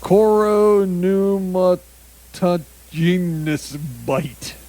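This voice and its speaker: A somewhat high-voiced man reads bizarre headlines to his co-host in loose, joking banter. He stumbles over foreign words and breaks into laughter mid-sentence. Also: extremely slow, dark tone. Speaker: comedy podcast co-host. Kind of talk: casual comedic news banter.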